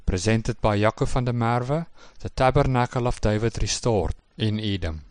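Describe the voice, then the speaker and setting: Man, lowish voice, scripted scripture reading, measured and formal, dry close sound. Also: darkish tone, no room sound. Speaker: teacher in a recorded presentation.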